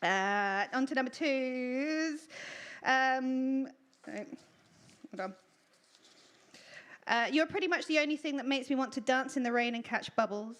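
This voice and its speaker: A woman with a high voice presents at a live storytelling event. She reads out entries and announces in an informal style, with a giggly, jokey and self-deprecating manner. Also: measured pace, long pauses, a bit bright, clear, resonant tone. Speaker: presenter announcing writing contest winners.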